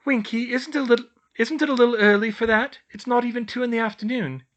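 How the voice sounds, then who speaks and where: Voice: medium pitch, fairly even intonation, fast talker, a bit bright, muffled recording, voice pushed up, natural voice. A reader voicing Hermione, an earnest, caring young witch. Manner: earnest, concerned.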